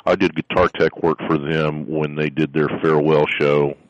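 A middle-aged man from South Florida with a very deep bass voice, telling stories in a casual interview with lots of fillers and restarts. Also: level tone, moderate pace, no room sound, lo-fi sound. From South Florida, USA. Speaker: guest, strength coach and musician.

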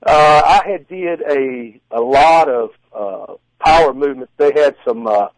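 A man in his forties speaking as an interview guest, telling stories casually in halting, folksy phrasing. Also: medium pitch, dry recording, poor audio. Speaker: guest, strongman competitor and contest judge. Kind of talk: casual interview answers and storytelling.

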